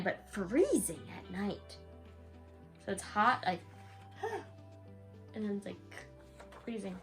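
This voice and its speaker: A woman's voice: fairly high pitch, fairly bright tone. A woman reading a rhyming bedtime story aloud to a young child, in a sing-song way with casual asides.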